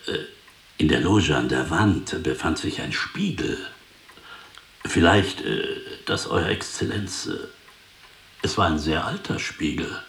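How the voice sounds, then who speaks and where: A servile waiter, extremely low voice, lively intonation, stammering, anxious, radio drama. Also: male voice, unhurried, echoey room, full character voice. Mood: apologetic, anxious. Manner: deferential, stammering, nervous. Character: obsequious, anxious male hotel waiter.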